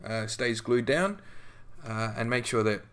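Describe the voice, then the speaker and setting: A man narrating a scale modelling tutorial as a voice-over, relaxed and conversational with frequent ums and restarts. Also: mid-range voice, unhurried.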